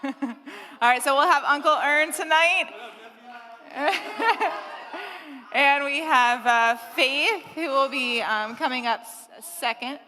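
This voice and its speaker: A woman's voice: high-pitched, slow, pushed and bright, in a large hall. A young woman hosting a church youth gathering, introducing speakers with a hesitant, um-filled delivery. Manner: hesitant, many "um"s. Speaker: youth ministry host.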